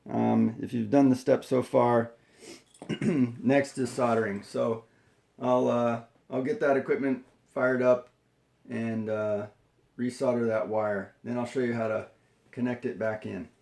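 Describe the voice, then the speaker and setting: A man presenting an unscripted hands-on how-to, talking in a halting, step-by-step way. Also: mid-range voice, fairly even intonation, creaky, a little mellow, echoey room. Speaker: tutorial presenter.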